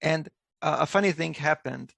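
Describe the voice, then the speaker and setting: A man with a Hungarian accent hosting an interview, speaking haltingly with many restarts as he thinks aloud. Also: a little high, gravelly, fairly bright tone, dry recording. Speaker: host.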